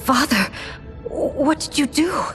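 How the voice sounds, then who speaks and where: An actress playing an earnest young royal daughter and warrior, speaking with open emotion. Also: female voice, high-pitched, animated, slow pace, a bit bright, faint music behind, full character voice. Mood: alarmed.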